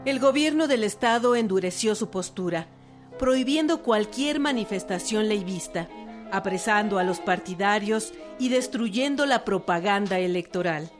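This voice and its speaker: A woman's voice: mid-range voice, animated, normal speed. A woman with a Mexican accent reading scripted narration for a radio docudrama. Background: music.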